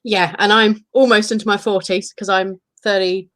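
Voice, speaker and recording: A woman's voice: medium pitch, fairly even intonation, normal speed, fairly bright tone, no room sound. A woman in her thirties, co-hosting a casual chat and telling candid, self-deprecating stories. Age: 30s. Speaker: podcast co-host.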